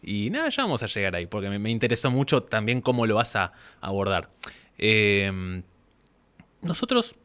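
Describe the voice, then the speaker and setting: A man's voice: on the low side, sing-song, moderate pace, a bit bright. A man with an Argentine accent hosting a casual interview in an informal, discursive way, often acting out bits of dialogue. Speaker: interview host.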